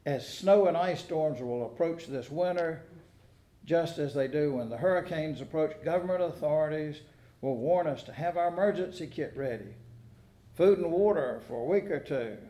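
Higher-pitched American preacher in an echoey room. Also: male voice, expressive, moderate pace, dark tone. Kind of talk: sermon. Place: church sanctuary during a service.

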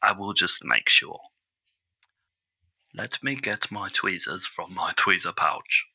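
A man's voice: sits low, takes it slowly, gravelly, bright, forceful tone, husky, heavily acted. A man playing an earnest, bumbling caller on a comedy podcast, fussy and overly polite. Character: earnest bumbling male bushel-farmer caller.

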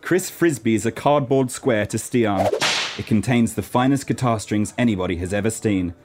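A man's voice: lowish voice, moderate pace. A man reading scripted stage directions as the narrator of a radio play. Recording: clear background noise.

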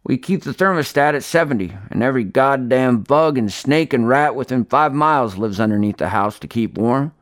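Gruff old country homeowner for a storybook reading, done by a male narrator: blunt, folksy, heavyset. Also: medium pitch, lively intonation, normal speed, few pauses, dry recording, studio quality, natural voice. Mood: cautioning.

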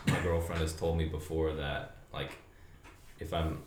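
A young man in his twenties with a strikingly deep, booming voice talks in an easy, meandering way, full of ums and likes. His voice rings in a roomy space, with a faint hiss of noise underneath. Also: flat delivery, unhurried. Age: 20s. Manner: laid-back, rambling with many fillers. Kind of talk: casual chat.

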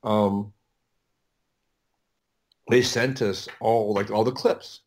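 Middle-aged male, low voice, producer being interviewed; rambling, stop-start delivery with repeated words. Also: steady tone, extremely slow. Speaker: audio producer, interview guest. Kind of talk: casual interview storytelling.

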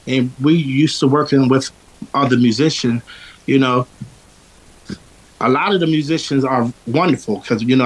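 A man's voice: medium pitch, poor audio. Rambling man, singer telling road stories. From Philadelphia. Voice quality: strong, powerful voice. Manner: rambling, with many fillers and restarts. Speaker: singer and interview guest. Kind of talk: casual interview chat.

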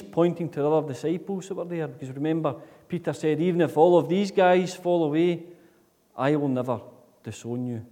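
A man preaching a sermon in a church, earnest, with repeated rhetorical questions that swing between loud and soft. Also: on the high side. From Scotland. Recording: long reverb of a church hall.

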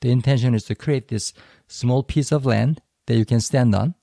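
Gravelly, mellow-voiced man explaining calmly. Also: medium pitch, dry recording, studio quality. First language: Korean. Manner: thoughtful, explanatory, slightly halting. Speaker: podcast co-host. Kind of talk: casual educational discussion.

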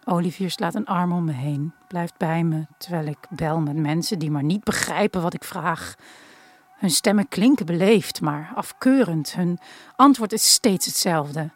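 An actress playing a grieving daughter who narrates an audio drama in a measured, intimate, solemn way. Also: female voice, fairly low pitch. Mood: sorrowful. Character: grieving daughter narrating her dying mother's last days. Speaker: narrator actor. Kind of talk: literary first-person audio drama narration.

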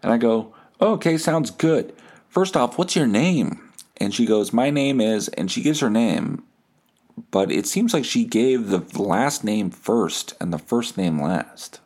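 A man with a fairly low voice hosts a casual comedy podcast. He tells his story in a self-deprecating, sweary way, acting out both sides of text conversations as he goes. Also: lively intonation, a little slow, darkish tone. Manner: foul-mouthed, self-deprecating. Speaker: podcast host.

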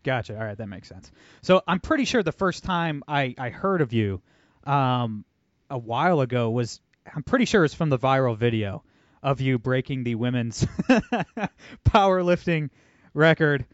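A man hosting a podcast, chatty and energetic, with lots of fillers. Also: medium pitch, normal speed, no room sound, dull audio. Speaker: podcast host. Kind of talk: casual interview talk.

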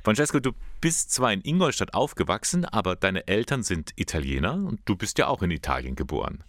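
A man's voice: fairly low pitch, big pitch swings, fairly quick, fairly bright tone. A man working as an interviewer, putting questions and short comments to a guest in a conversational tone.

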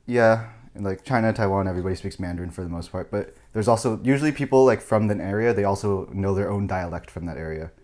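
A man's voice: deep voice, quick pace, darkish tone. A young American man chatting as a podcast guest, rambling and full of filler words, with a chuckle here and there. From United States. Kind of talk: casual chat.

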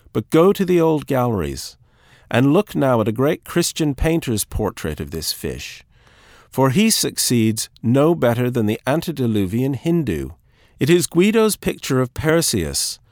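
Expressive man reading literary narration, dry sound. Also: mid-range voice, no echo. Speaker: audiobook reader. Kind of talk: scripted literary narration, read aloud.

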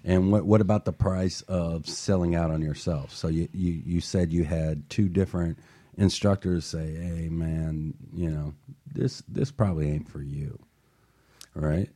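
A man's voice: deep voice, fairly even intonation, unhurried, dark tone. A man hosting a motivational talk show and coaching, talking earnestly and building to passionate emphasis.

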